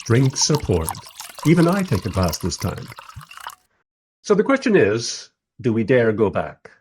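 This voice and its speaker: An actor playing a mild, polite time-travelling interviewer who narrates his story wryly in a radio play, with a husky voice. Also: medium pitch, wildly swooping pitch, a little slow, a little mellow, slight background noise. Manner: wry, polite. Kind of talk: first-person radio play narration and dialogue.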